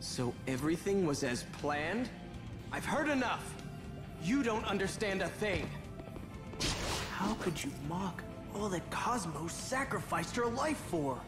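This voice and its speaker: Earnest young male hero for a game cutscene: high, emphatic voice in long, ringing reverb. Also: sing-song, unhurried, pauses often, music in the background. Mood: indignant. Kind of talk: dramatic video game acting. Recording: echoing reverberant hall.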